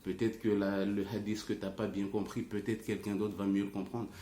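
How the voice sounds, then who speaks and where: A man's voice: lowish voice, little pitch movement, moderate pace, mellow. A man who is not a native French speaker explains things informally to online followers as a religious teacher, often searching for words. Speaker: religious teacher and ruqyah healer. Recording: roomy echo, faint background noise.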